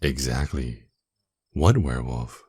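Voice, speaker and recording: Man playing a very deep, slow vampire lord. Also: warm tone, slightly rough, no echo, studio quality, heavily acted. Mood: seductive, gloating. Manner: slow, menacing, condescending, teasing. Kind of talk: ASMR roleplay acting.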